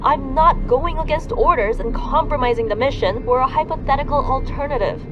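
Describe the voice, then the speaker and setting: A woman's voice: sits high, fairly quick, highs cut off, over music, natural voice. A woman playing a dutiful soldier in a video game, speaking firmly and formally as one devoted to her orders. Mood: defensive, insistent. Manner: firm, formal, insistent. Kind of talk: video game cutscene acting.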